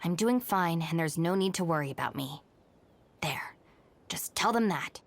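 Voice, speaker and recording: A woman's voice: on the low side, animated, moderate pace, soft background music. A woman playing a reserved, dutiful young gang deputy in a video game, polite, restrained and matter-of-fact. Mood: guarded. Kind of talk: English video game voice acting.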